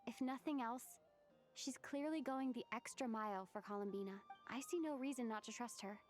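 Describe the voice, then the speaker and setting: Woman voicing an earnest young heroine, high-pitched. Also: brisk, faint music behind, lightly acted. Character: earnest young heroic traveler, determined and trusting. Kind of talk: video game voice acting.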